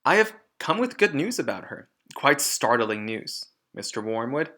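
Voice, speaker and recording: A man voicing a gentle, earnest woman schoolteacher in a storybook, speaking politely and with measured care. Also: medium pitch, very animated.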